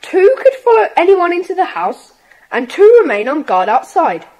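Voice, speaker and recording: A woman playing an earnest, expressive schoolboy in a children's audiobook drama. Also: extremely high pitch, moderate pace, rough recording. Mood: earnest, planning. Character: Jack, earnest schoolboy in a secret club.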